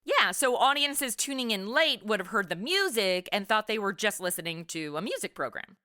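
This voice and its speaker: Woman hosting a podcast, bright forward voice, super expressive, chatty scripted delivery. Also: mid-range voice, normal speed, no echo, clean studio sound. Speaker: podcast host. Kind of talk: lively scripted conversational hosting.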